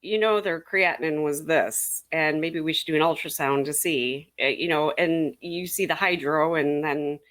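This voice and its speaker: A woman's voice: sits low, moderate pace, few pauses, a bit bright. A woman urology nurse chatting on a panel, rambling with restarts and clinical jargon. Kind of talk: casual panel chat.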